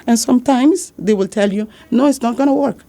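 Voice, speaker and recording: A woman's voice: a little high, fairly quick, warm tone. A woman with a Dutch accent, a business support consultant from the Caribbean, earnestly giving advice in an interview and repeating her key points. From Saint Martin, Caribbean. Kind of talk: interview answers.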